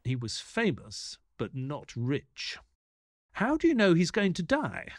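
An actor playing an eager, earnest detective's assistant who narrates an audio drama like a storyteller. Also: male voice, a little high, wildly swooping pitch, slow pace, no room sound, clean studio sound, heavily acted. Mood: curious.

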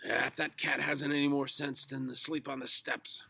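A man's voice: medium pitch, level tone, normal speed, vocal fry, sharp tone, breathy edge, dry recording, pitched above the performer's own voice, exaggerated voice. A man voicing an angry, blustering grown male neighbour in a storybook, bellowing and snapping out orders.

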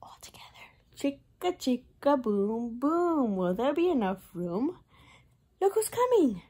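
Slow, breathy young woman reading to children. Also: sits high, sing-song, mellow. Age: young adult. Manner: sing-song, addresses young children, invites joining in. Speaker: Montessori preschool teacher. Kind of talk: animated read-aloud of a children's picture book.

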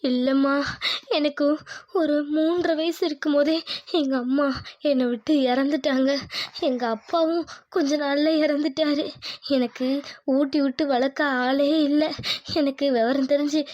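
Woman acting, young daughter-in-law, high-pitched, meek, tender, deferential, animated family drama. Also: slow pace, lo-fi sound. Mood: tearful, grateful. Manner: pleading, deferential.

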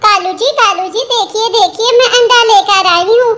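A woman's voice: very high-pitched, normal speed, a bit bright. A woman voicing a scheming, chattering female crow in a cartoon. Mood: greedy glee.

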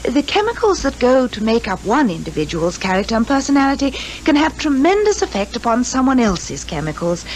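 An actress playing a chatty, scatty secretary with a British accent, fluttery and opinionated, in 1950s radio drama style. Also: female voice, fairly high pitch, big pitch swings, brisk, few pauses. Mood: rambling, enthusiastic. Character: chatty, scatty female secretary, eager to please. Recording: noisy 1950s radio recording.

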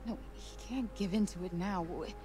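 A woman's voice: on the low side, moderate pace, noisy background, close to an everyday voice. An actress playing a brash young woman hero who talks casually and urgently, with game music behind her. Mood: worried.

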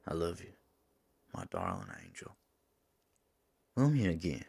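A man playing a lovesick demon in an intimate ASMR roleplay, pleading tenderly with his angel lover in a gravelly, husky voice and folksy turns of phrase. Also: low voice, measured pace, a bit bright, full character voice. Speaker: voice actor in an audio roleplay.

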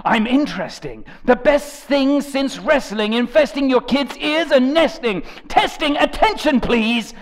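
A young man reading song lyrics as a theatrical dramatic performance, jumping between shouted lines and low mutters with a gravelly edge. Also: expressive, a little slow, few pauses, slight background noise. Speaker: comedic dramatic reader of rap lyrics. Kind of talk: over-the-top dramatic reading.

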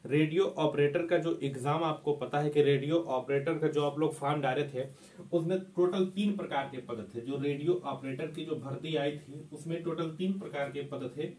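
A man in his thirties teaches in an informal, emphatic way, repeating key points for his students. His voice sits a little high. The room rings with clear echo, and he is some way from the microphone. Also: moderate pace, darkish tone. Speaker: exam coaching teacher. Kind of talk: informal whiteboard lecture to camera. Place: small indoor classroom at a whiteboard. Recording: echoey room, distant microphone.

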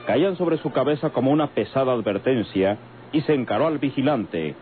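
An actor as the solemn narrator of an old radio soap, telling the story gravely and formally. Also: male voice, mid-range voice, animated, a little fast, lightly acted. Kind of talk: scripted dramatic radio narration. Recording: tinny old radio recording, loud music.

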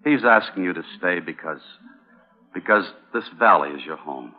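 A steady, firm western drifter with a low, expressive voice. The acting is from a 1950s radio play, heard through a narrow old broadcast. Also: male voice, moderate pace. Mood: earnest, firm.

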